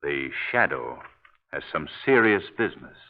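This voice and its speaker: A man acting a suave, assured crime-fighter in a 1930s radio drama, speaking in a measured, formal, probing way. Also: very deep voice, very animated, creaky, exaggerated voice. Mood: musing, grave. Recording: muffled vintage 1930s radio recording.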